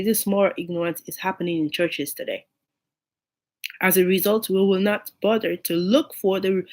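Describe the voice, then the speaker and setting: A woman with a cold and a hoarse, unclear voice, giving an informal sermon to her online listeners and repeating key phrases for emphasis. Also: mid-range voice. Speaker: online preacher.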